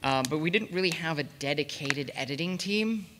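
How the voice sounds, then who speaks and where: A man's voice: a little high, animated, moderate pace, background noise. A man speaking informally on a panel, rambling and hesitant as he goes. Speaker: convention panelist, production team coordinator. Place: convention panel room with audience. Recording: echoey hall with long reverb.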